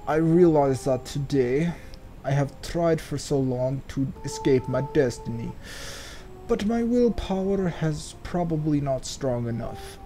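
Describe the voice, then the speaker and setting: A man's voice: on the high side, dark tone. A man hosting a let's play, with rambling, casual commentary and stretches of game text read aloud. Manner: rambling, speculative, sweary.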